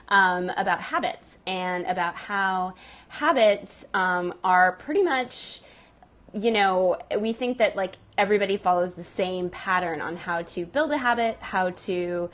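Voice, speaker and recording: A woman business coach and studio owner chatting casually with live viewers from a handheld camera, upbeat and full of fillers and restarts. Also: lowish voice.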